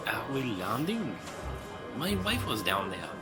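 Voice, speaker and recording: A man's voice: medium pitch, huge pitch swings, measured pace. A man playing an upset, objecting military subordinate in an audio drama. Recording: music behind the voice.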